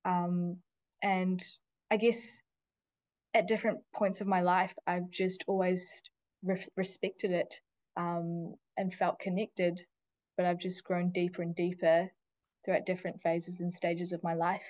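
A woman who is a teacher, a guest on a podcast, speaking casually and thoughtfully with many pauses and fillers. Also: fairly low pitch, level tone, darkish tone, no room sound. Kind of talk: casual interview chat.

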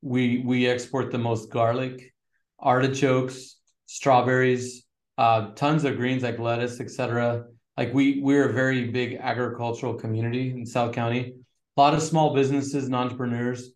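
A middle-aged man from Northern California, a real estate agent chatting casually as an interview guest with relaxed fillers. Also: mid-range voice, normal speed, roomy sound. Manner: relaxed, fillers, flat steady delivery.

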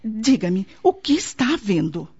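Woman acting husky-voiced Brazilian mother-in-law, genteel and caring. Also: medium pitch, big pitch swings, darkish tone, exaggerated voice. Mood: motherly concern. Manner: theatrical, measured, motherly. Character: caring, protective middle-aged mother-in-law, genteel society matron. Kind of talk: radio soap opera acting. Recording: dull lo-fi radio drama, music underneath.

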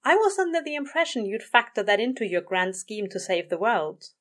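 A woman voicing a gentle, earnest male angel bookseller in an audio story, soothing, polite and a little prim. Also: sits high, very animated, moderate pace, voice pushed up. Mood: amused, chiding.

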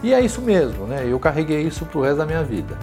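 A man in his sixties with a São Paulo accent, recalling his working life in an interview, talking slowly with many restarts and tag questions. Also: on the high side, sing-song, darkish tone, faint music behind. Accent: São Paulo Portuguese. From São Paulo, Brazil. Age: 60s. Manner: unhurried, hesitant, frequent tag questions and restarts. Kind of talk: reflective life-story interview.

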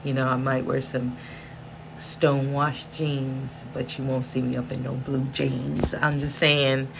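A woman with a hoarse voice hosts her own podcast and rambles casually, breaking off her own sentences. A faint whirring hum sits under her voice in a small indoor room. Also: rumbling low, little pitch movement, unhurried. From South Central Los Angeles. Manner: rambling, chatty, self-interrupting with laughs. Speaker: podcast host. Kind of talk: casual solo podcast monologue. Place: indoor room with space heater. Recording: faint background whirring noise.

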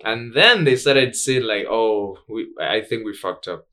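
A man with a fairly deep voice and a Tanzanian accent. He hosts a podcast as a casual chat, asking his questions in informal, slangy English. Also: expressive, a little slow, bright, forceful tone. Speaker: podcast host.

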